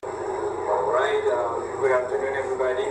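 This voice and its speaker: Male Indonesian-accented student presenter, medium pitch, distant mic in a heavily reverberant space. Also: steady tone, normal speed. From Indonesia, raised in Jakarta. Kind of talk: presentation to an audience. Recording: very echoey, distant, loud noise.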